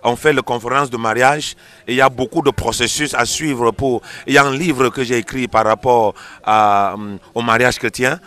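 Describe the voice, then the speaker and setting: Forceful, bright-voiced man, emphatic pastor. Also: mid-range voice, steady tone, moderate pace. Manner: emphatic, didactic, repeats phrases for emphasis. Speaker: pastor, interview guest. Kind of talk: explanatory interview answers on a religious show.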